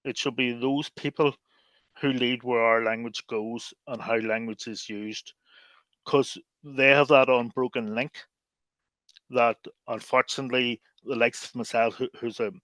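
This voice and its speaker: A man's voice: mid-range voice, fairly even intonation, unhurried, a bit bright, no echo. Thoughtful man with a dry, close sound. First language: English. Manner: thoughtful, with frequent fillers and restarts. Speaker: lexicographer and guest. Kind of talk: podcast interview.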